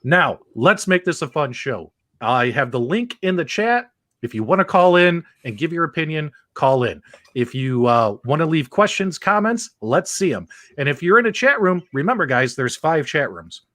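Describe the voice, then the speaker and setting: A man's voice: fairly high pitch, very animated, normal speed, a bit bright, no echo, studio quality. A man hosting a live panel discussion in an upbeat manner, addressing the audience directly. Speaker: host.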